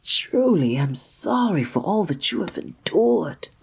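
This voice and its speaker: Guy doing a gentle flirty innkeeper for audio roleplay, breathy, really expressive pitch, talks all formal and olde worlde. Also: slow pace, heavily acted. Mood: shocked, sympathetic. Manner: formal old-fashioned diction, gentle and attentive. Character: kindly, flirty male village innkeeper, courteous and tender. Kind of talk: scripted roleplay audio drama, one-sided conversation with the listener.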